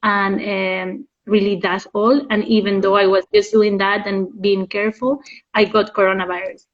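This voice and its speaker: A woman's voice: mid-range voice, little pitch movement, moderate pace. A young woman from Spain, chatting casually as an interview guest. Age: young adult. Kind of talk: casual chat. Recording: dull lo-fi internet call audio.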